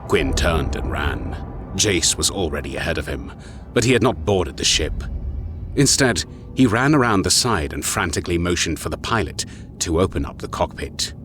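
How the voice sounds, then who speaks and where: A man's voice: low voice, expressive, moderate pace. A man reading scripted, dramatic narration for an audio story. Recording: music behind the voice.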